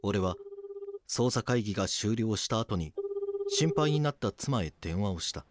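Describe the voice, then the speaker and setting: An actor playing a stern but caring police detective who narrates an audio drama in a serious, measured way. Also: male voice, lowish voice, animated, dry recording. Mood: grave. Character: stern male police detective narrating, gruffly tender husband. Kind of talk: scripted documentary-drama narration and acting.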